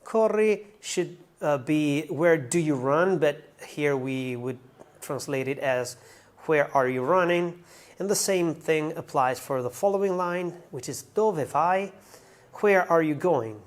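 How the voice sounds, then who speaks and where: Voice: medium pitch, slow. A language teacher giving an explanatory song translation lesson, going through it carefully word by word.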